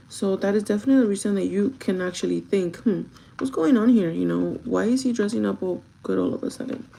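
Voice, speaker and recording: A young woman with a gravelly, groggy voice chats casually and directly to her viewers, giving advice in an informal vlog style. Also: medium pitch, warm tone, a little hiss or hum, low-quality recording. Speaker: vlogger chatting while doing makeup.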